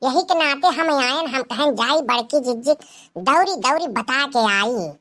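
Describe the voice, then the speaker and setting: A woman voicing an excitable Awadhi-speaking village woman in a cartoon comedy, emphatic and repetitive as she pleads to be believed. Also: high-pitched, unhurried, full character voice. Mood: urgent, insistent. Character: excitable village woman bringing gossip to her elder sister.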